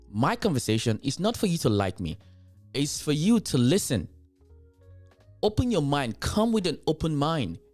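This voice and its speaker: Emphatic Nigerian man, lively pitch. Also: dry recording. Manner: emphatic, repeats himself to drive points home. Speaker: commentary show host. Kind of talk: reaction commentary, addressing viewers.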